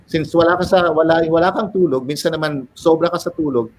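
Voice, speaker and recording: A man's voice: on the high side, fast talker, slight background noise. A middle-aged man with a Filipino accent, an educator explaining ideas in an interview, switching between English and Filipino. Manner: lecturing, mixes English and Filipino. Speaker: educator guest.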